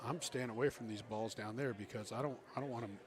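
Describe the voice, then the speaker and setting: A man commentates a live pool match, chatty and excitable. His voice sits at a middling pitch. A loud crowd murmurs around him. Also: fast. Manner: chatty, excitable, coaching players aloud. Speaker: pool commentator and team captain.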